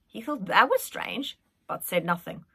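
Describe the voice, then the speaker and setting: A woman reading a children's story aloud in an expressive, dramatic manner. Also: mid-range voice, unhurried, gravelly, husky. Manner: animated, dramatic.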